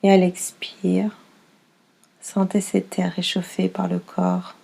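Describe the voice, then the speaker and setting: A woman leading a guided yoga nidra relaxation as instructor, soothing and unhurried, speaking in short phrases with pauses. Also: fairly low pitch, completely flat delivery, vocal fry, dark tone, breathy edge.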